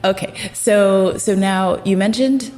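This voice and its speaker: A woman's voice: mid-range voice, slow pace, darkish tone. A woman hosting a podcast, interviewing her guest in an eager, affirming way. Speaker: podcast host. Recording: long reverb.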